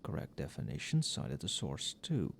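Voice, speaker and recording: A man playing a tipsy, friendly professor in a casual ASMR roleplay, speaking softly and muttering as if to a colleague. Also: rumbling low, wildly swooping pitch, normal speed, creaky, slight background noise, full character voice. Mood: muttering, absorbed. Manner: soft-spoken, muttering. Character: tipsy, friendly, conscientious male magic-university professor working late.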